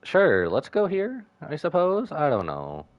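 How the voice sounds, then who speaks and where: A man giving casual live commentary as a game streamer, rambling and animated, with jokey asides. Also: a little high, measured pace, no echo, lo-fi sound.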